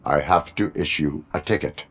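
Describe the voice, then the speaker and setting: An actor playing a stern cyborg police officer in a video game, speaking in a formal, measured, robotic deadpan. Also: male voice, faint music behind. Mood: stern, flat. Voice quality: deep, measured voice.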